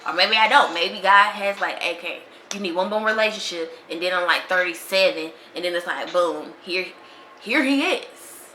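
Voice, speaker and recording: A woman's voice: on the low side, moderate pace, pushed and bright. A woman, talking casually and with animation as a podcast host in a slangy monologue. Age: 20s. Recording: echoey room with faint noise.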